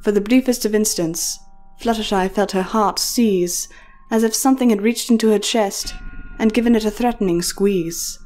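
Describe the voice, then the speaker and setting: Mellow woman narrating a story. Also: medium pitch, normal speed, few pauses, faint music behind.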